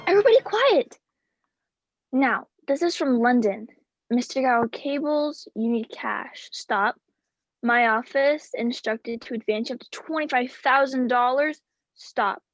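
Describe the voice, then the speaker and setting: A woman's voice: sits high, animated, measured pace, no echo, dull audio, full character voice. A woman playing a hearty townsperson in a 1940s-style radio play, announcing things emphatically. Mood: excited, commanding. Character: bustling, hearty townsperson rallying the crowd. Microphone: video-call microphone.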